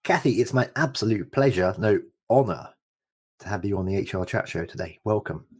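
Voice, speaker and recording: A man with a low voice hosting a podcast interview. He is effusive, heaping compliments on his guest. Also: animated, fairly quick, darkish tone, husky. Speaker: podcast host.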